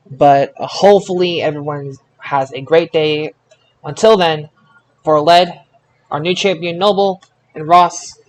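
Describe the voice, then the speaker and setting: Male podcast host, fairly high-pitched, casual sports-fan chat. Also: slight background noise, poor audio.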